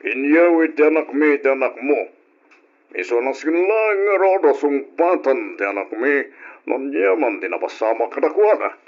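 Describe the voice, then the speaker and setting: An actor playing a middle-aged father, a formal and insistent head of the family, speaking deliberately in an old radio drama on a lo-fi recording. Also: mid-range voice, animated. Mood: firm, formal.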